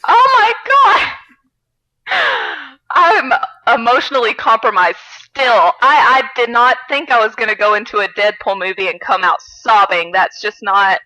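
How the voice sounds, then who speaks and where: Bright, exclamatory woman chatting casually. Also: fairly high pitch, very animated, measured pace, dry recording, low-quality recording. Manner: dramatic, exclamatory fan talk. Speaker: podcast co-host. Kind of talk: casual chat about films.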